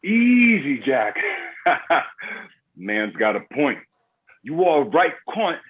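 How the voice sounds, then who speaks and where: A man's voice: a little high, sing-song, extremely slow, slightly rough, heavily acted. A man playing a menacing, foul-mouthed card game boss with a violent temper and a blunt, domineering, taunting delivery in a drama table read. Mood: smug. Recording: thin phone-like radio-sounding line.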